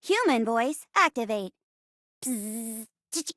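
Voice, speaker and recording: Woman as a stilted, bright-voiced navigator robot. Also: on the high side, big pitch swings, no echo, full character voice. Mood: mechanical. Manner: clipped, mechanical, deliberate.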